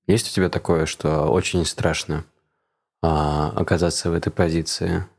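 A man's voice: low voice, flat delivery, moderate pace, creaky, husky. A man chatting casually as a conversation partner who puts questions to the other speaker. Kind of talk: casual chat.

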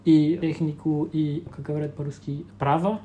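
A young Belgian man whose first language is French, speaking Russian with a French-speaking Belgian accent, pausing often to search for words as an interview guest. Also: on the high side, little pitch movement, dark tone, dull audio, slight background noise. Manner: hesitant, searching for words with many fillers. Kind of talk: casual interview talk.

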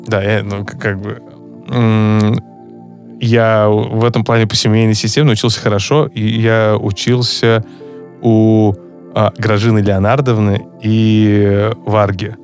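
A man with a somewhat low voice who isn't a native speaker chats casually on a podcast. He jokes around and stumbles through plenty of fillers and restarts, with soft music underneath. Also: level tone, a little slow. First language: not Russian. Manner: hesitant, many fillers and restarts, joking. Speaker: podcast co-host, psychologist. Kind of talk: casual chat.

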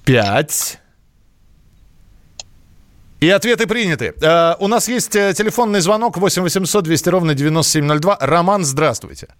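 A man's voice: a little high, animated, moderate pace, pauses often, dry recording. A man co-hosting a live radio quiz in a chatty way, carefully reading out numbers digit by digit. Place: close dry setup for a home radio broadcast.

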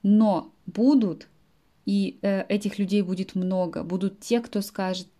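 A woman's voice: mid-range voice, fairly even intonation, measured pace, dark tone. A woman in her late twenties, a native-speaking language teacher, talks to her students in a podcast monologue and stops to explain words in simple terms. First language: Russian. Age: late 20s.

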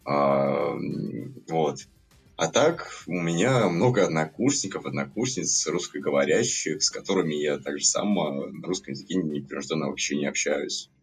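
Very deep husky young man. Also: animated, moderate pace, soft background music. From Latvia. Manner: rambling, many hedges and fillers. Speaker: podcast guest. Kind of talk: casual podcast chat.

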